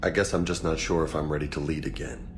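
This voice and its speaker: An actor playing a casual, easygoing but burdened soldier in a video game, with informal, slangy speech. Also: male voice, extremely low pitch, fairly even intonation, fast talker, slight background noise. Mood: troubled, reflective. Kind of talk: conversational video game acting.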